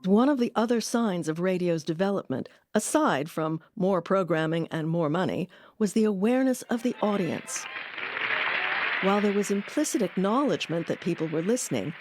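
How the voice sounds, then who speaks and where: A woman's voice: mid-range voice, animated, normal speed, a little mellow, some chatter behind. A woman reading scripted documentary narration. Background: music.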